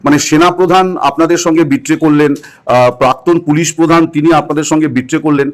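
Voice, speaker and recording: A man's voice: a little high, steady tone, fairly quick. A man conducting a news interview by phone, asking in a probing, pressing manner.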